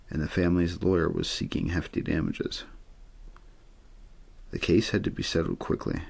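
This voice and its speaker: A man softly reading a story in ASMR style, unhurried and untheatrical, with a husky, gravelly voice. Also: deep voice. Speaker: host and reader of an audio ASMR show.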